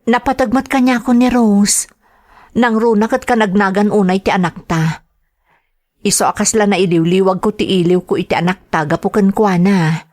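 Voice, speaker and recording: A woman playing a gentle, homesick mother working abroad, acting in a sentimental radio drama with a Filipino accent, tender and emotional. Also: mid-range voice, steady tone, normal speed, no room sound, clean studio sound, lightly acted. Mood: homesick, affectionate.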